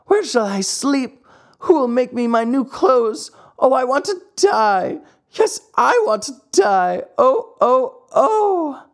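A narrator acting plaintive, high-pitched little puppet boy. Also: wildly swooping pitch, normal speed, a little mellow, breathy edge. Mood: grieving, pleading. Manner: plaintive, dramatic wailing and exclaiming. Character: grieving, pleading little wooden marionette boy.

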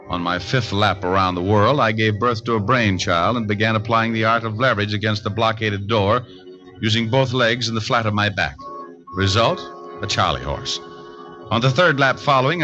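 An actor playing a wisecracking, unflappable private detective with a wry, glib delivery in 1940s radio drama style. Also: male voice, fairly low pitch, dull audio, natural voice. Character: wisecracking, unflappable male private detective narrating his case. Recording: old 1940s radio recording with hiss.

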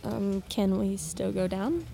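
A female performer playing an eager, persistent young daughter, pleading and excitable, in a naturalistic audio drama. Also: medium pitch, slow, vocal fry, a little hiss or hum. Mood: insistent.

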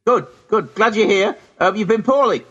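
A man's voice: high-pitched, moderate pace, fairly bright tone, muffled recording. A British man chatting casually and chummily as co-host of a radio show, with a teasing streak.

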